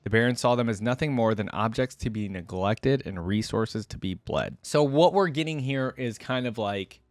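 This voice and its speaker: A man with a medium-pitched voice hosts a reaction video in a casual style. He moves between reading written material aloud and giving his own loose commentary. The sound is close and dry, with very little room in it. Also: normal speed, no echo. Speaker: YouTube reaction host.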